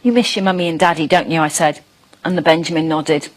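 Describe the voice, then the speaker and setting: A woman voicing a curious, friendly young schoolchild dragon who narrates a storybook with wide-eyed, expressive emphasis. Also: sits low, fast, pitched below the performer's own voice. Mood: tender.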